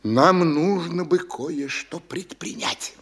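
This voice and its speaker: An actor playing a sly, wheedling provincial official in a classic radio play, insinuating and coaxing. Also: male voice, fairly high pitch, takes it slowly, fairly bright tone. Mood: scheming. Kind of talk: classic stage-style radio acting.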